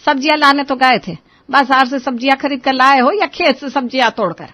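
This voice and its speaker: A woman's voice: sits high, a little fast, a bit bright, pitched above the performer's own voice. A woman voicing a cranky, complaining older mother-in-law in a moral-story cartoon, scolding and grumbling with exclamations.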